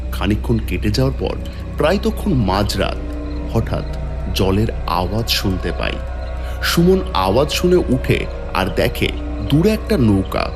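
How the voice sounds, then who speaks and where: A man tells a scripted horror story as its narrator. His voice is low and very lively in intonation, dramatic in delivery. Music plays clearly behind him.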